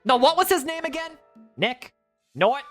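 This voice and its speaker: Woman voicing a high, showy storybook stylist in her thirties: sassy, impatient, forever ranting, pitch leaping all over. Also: lively intonation, normal speed, soft background music. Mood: teasing.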